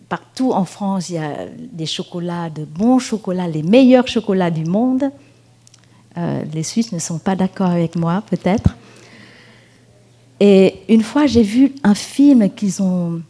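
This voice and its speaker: A woman with a Vietnamese accent gives a dharma talk, speaking very slowly in a mellow, slightly husky voice. She is gentle and unhurried, pausing often and filling gaps with soft fillers. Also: medium pitch. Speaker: Buddhist nun teacher. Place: meditation hall at a retreat.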